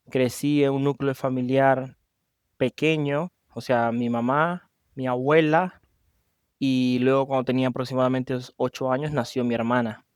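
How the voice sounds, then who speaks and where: A man with a Venezuelan accent and a smooth, mid-pitched voice. He speaks as an interview guest in a personal, conversational storytelling style, recorded dry. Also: dry recording. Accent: Venezuelan Spanish. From Maracaibo, Venezuela. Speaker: podcast guest.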